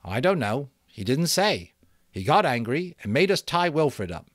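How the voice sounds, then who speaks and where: A man voicing an excitable, challenging schoolboy sentry of a savage tribe in a storybook reading, bold in manner. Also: medium pitch, unhurried, no echo, studio quality, pitched above the performer's own voice. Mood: gleeful excitement.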